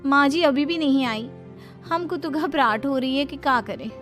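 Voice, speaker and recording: A woman's voice: high voice, normal speed, a bit bright. A woman voicing a meek, anxious young daughter-in-law in a dramatized moral story. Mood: worried. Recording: music behind the voice.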